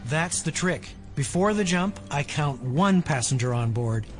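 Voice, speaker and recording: A man's voice: a little high, lively intonation, measured pace, faint music behind. A man playing an earnest, precise tech geek in an audio drama. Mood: intrigued. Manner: precise, technical.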